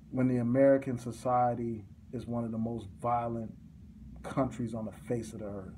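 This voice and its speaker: A middle-aged man delivering an impassioned political monologue to a phone camera in a small room, forceful and repetitive, with a preaching cadence. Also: mid-range voice, a little hiss or hum. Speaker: commentator.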